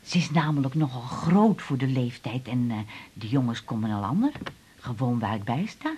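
A fretful, gabby mum, husky and low, keeps tripping over her words. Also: sing-song, normal speed, warm tone, full character voice. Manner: hesitant, stammering, chatty. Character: mother of a teenage girl, fussy and hesitant. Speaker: patient's mother. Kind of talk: 1980s radio drama acting.

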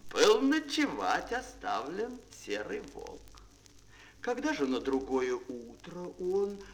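A man narrating a fairy tale for children in a theatrical, grand, declamatory style with dramatic pauses. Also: a little high, huge pitch swings. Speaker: storyteller. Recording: mono vintage children's audio record.

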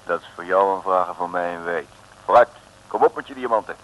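Low-voiced, menacing crook. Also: male voice, a little fast, fairly bright tone, dull audio. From Netherlands. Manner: threatening, colloquial. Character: menacing, impatient male crook with street slang. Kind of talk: comic radio serial acting, around 1960. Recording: faintly noisy old radio recording.

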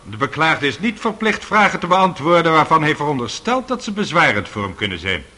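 An actor playing a courteous, measured presiding judge in a radio drama. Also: male voice, fairly high pitch, big pitch swings, sharp tone, exaggerated voice. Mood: formal, advising. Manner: measured, official.

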